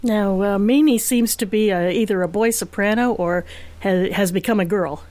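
A woman's voice: medium pitch, moderate pace, a little mellow. A woman co-hosting an interview in casual conversation. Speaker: interview co-host.

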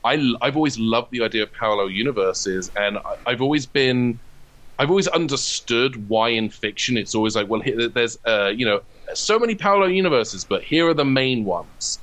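A man's voice: mid-range voice, expressive, normal speed, fairly bright tone. A man in a casual interview, talking as a comedy writer in an enthusiastic, discursive, geeky way.

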